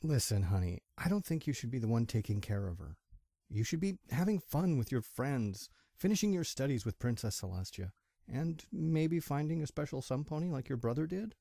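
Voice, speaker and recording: A man's voice: mid-range voice, animated, normal speed, vocal fry, warm tone, no room sound, studio quality. A man playing a kindly middle-aged stallion father in an amateur audio drama, folksy and affectionate. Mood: gently serious.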